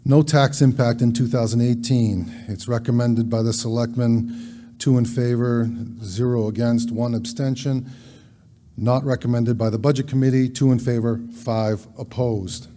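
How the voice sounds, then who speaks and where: Quiet-voiced man with a very dark tone. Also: mid-range voice, fairly even intonation. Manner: measured formal reading, quiet voice. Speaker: town selectman. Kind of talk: reading a warrant article aloud at a town meeting. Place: town meeting hall.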